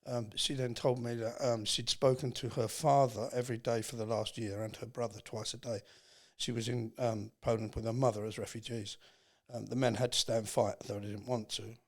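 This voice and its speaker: An English man speaking as a podcast guest, telling a personal story in an earnest, halting, reflective way. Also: mid-range voice, level tone. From England.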